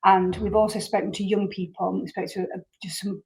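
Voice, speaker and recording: A woman hosting a chatty podcast at a mid-range pitch. She sets up the conversation earnestly, circling back and repeating words as her thoughts build. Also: flat delivery, fairly quick, creaky, darkish tone, low-quality recording. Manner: earnest, rambling intro with many repeats. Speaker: podcast host. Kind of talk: casual interview chat.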